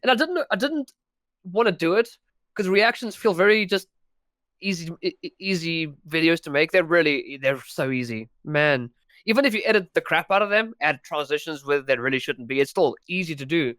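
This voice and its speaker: A man's voice: fairly high pitch, lively intonation, moderate pace, a bit bright, dry recording. A young man from South Africa with a South African accent, chatting as a podcast guest, talking freely with frequent restarts and laughs. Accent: South African English. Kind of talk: casual podcast chat.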